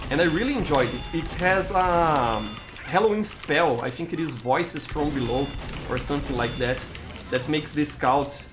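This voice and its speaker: A man with a Brazilian accent, a native Portuguese speaker, chatting casually on a gaming live stream in a halting way, stammering and repeating words. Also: fairly high pitch. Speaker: live-streamer hosting gameplay.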